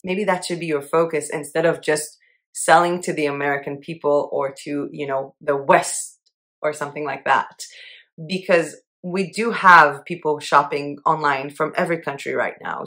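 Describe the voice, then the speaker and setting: Low-voiced chatty woman vlogger. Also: fairly bright tone. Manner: chatty, upbeat, laughs at herself. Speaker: channel host. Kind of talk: casual monthly goals update to camera.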